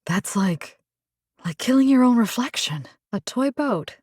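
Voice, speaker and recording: A woman's voice: a little high, expressive, a little slow, a little mellow, breathy edge, no room sound, studio quality. An actress voicing a wry, determined, compassionate young woman who hunts monsters in a video game, speaking conversationally with muttered asides. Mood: uneasy surprise. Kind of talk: video game character voice lines.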